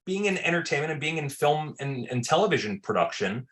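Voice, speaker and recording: A man's voice: fairly high pitch, sing-song, a little fast. A man talking loosely in an interview about his film work, with many fillers and restarts. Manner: loose, filler-heavy, repeats words; says he has a very loud voice. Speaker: film director. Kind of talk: casual interview answers.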